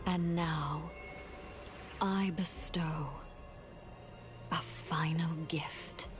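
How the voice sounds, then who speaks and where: Divine guide, low pitch, unhurried, hushed, breathy, grave, oracular, fantasy game, prominent music behind. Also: female voice, steady tone. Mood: solemn, cryptic. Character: solemn mysterious divine woman guiding a young heroine. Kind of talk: scripted fantasy game cutscene acting.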